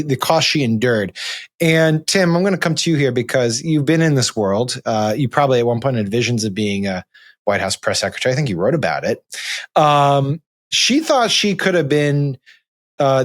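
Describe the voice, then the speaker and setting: An American man hosting a casual news panel in a conversational, ribbing manner. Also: mid-range voice. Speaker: panel host steering discussion.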